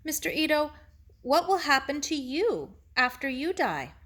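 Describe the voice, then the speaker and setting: A woman's voice: sits high, big pitch swings, unhurried, a bit bright, voice pushed up, exaggerated voice. A woman voicing an earnest, troubled young girl in a storybook, asking innocent, searching questions. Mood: hesitant, concerned. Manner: inquiring, innocent. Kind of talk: children's novel read aloud.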